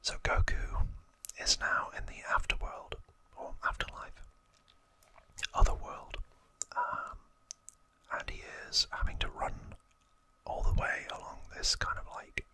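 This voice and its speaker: An ASMR host whispering trivia in a breathy, unvoiced voice, explaining hesitantly with soft pauses.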